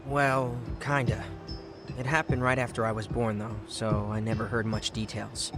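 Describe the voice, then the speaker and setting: A man's voice: mid-range voice, level tone, normal speed, natural voice. An actor playing an easygoing young man, a detective's junior partner, talking casually and a little hesitantly in a video game cutscene. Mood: tentative, concerned. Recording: studio voice with music behind.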